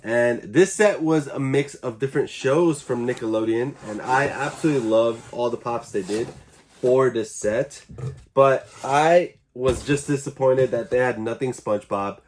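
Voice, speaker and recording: A man's voice: medium pitch, moderate pace, a little mellow, slight background noise. A young man with a stuffy, congested voice, chatting enthusiastically as he hosts a casual unboxing vlog. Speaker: YouTube collector channel host.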